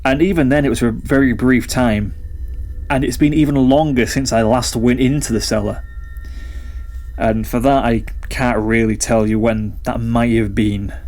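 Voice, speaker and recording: A man's voice: mid-range voice, fairly even intonation, normal speed, a little mellow. A young adult man recounts a personal ghost story in a conversational, reflective and slightly rambling way. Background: background music.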